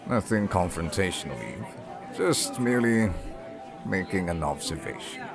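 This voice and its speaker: A man acting in an audio roleplay as a stern, condescending father, formal, deliberate and snide. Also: low voice, animated, over music.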